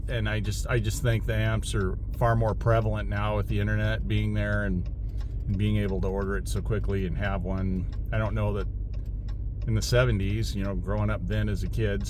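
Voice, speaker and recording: American man rambling over loud vehicle noise. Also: on the low side. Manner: halting, trails off and rephrases often. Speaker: vlogger, former Marine radio operator. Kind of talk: casual rambling monologue to viewers.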